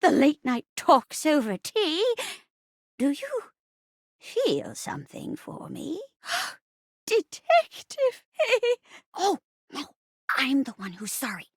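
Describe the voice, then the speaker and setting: A woman's voice: sits high, wildly swooping pitch, takes it slowly, long pauses, dry recording, studio quality, full character voice. A woman voicing a plucky, melodramatic old rocking chair wife in a hammy, folksy video game murder mystery. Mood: tender, flustered. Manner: theatrical, folksy, pleading.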